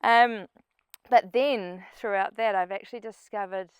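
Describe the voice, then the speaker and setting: A woman's voice: medium pitch, steady tone, unhurried, clear, resonant tone, no echo. A middle-aged woman with a New Zealand accent giving an informal short personal talk to a group. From New Zealand and Papua New Guinea. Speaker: speaker at a community event, farm sustainability coach.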